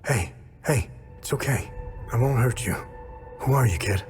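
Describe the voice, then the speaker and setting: An actor playing a terse, calm wanderer who questions people in a video game cutscene. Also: male voice, medium pitch, moderate pace, faint music behind, close to an everyday voice. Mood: reassuring.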